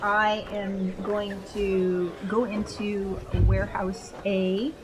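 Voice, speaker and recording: A woman's voice: mid-range voice, level tone, slow pace, very noisy. A woman taking part casually as a player in a tabletop role-playing game.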